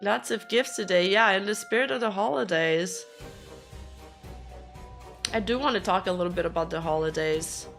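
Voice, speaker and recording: A hoarse woman streamer chatting casually and rambling with her viewers, laughing easily. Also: medium pitch, normal speed, pauses often, a bit bright, faint music behind. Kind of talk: casual livestream chat with viewers.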